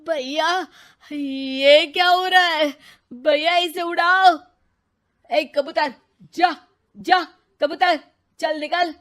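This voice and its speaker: A woman's voice: extremely high pitch, extremely slow, pauses often, heavily acted. A woman voicing a jumpy, easily scared younger brother in a cartoon. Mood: panicky. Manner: panicky, pleading, excitable.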